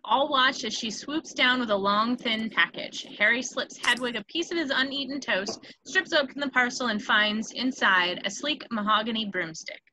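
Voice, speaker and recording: Bright-voiced woman narrating a screenplay. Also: fairly high pitch, normal speed, few pauses, a little hiss or hum, low-quality recording. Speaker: stage directions narrator.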